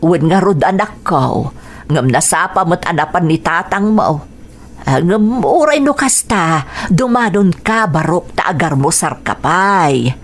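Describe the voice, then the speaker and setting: A woman's voice: fairly low pitch, animated, measured pace. A woman playing a fussy village mother. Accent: Ilocano. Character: middle-aged village mother, warm and fussy. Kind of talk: radio drama acting.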